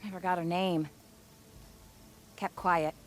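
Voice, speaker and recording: An actress playing a worried expedition crew member in a video game, blunt and clipped. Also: female voice, on the low side, level tone, takes it slowly, slight background noise. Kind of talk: video game cutscene acting.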